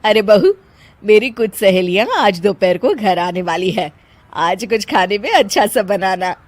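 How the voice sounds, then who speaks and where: A woman voicing an easily offended, bossy middle-aged mother-in-law in an animated story, with a scolding, exclamatory way of talking. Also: mid-range voice, a little slow, no echo, voice pushed down, natural voice. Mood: bossy, pleased. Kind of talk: animated moral story voice acting.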